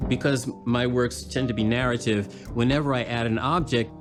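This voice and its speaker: An older American man talks as an artist interviewed for a documentary. His delivery is measured and explanatory, at a medium pitch, with music playing behind him. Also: fairly even intonation. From Washington, D.C., United States. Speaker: puppeteer, artist, museum curator.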